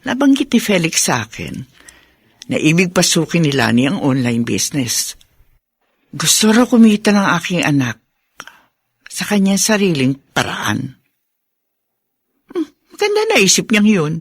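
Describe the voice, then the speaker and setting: A woman playing a doting, easily worried middle-aged mother and grandmother in a Filipino radio drama, with expressive, exclaiming delivery. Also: on the low side, unhurried, long pauses, husky, dry recording, clean studio sound, exaggerated voice. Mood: musing. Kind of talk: Filipino radio melodrama acting.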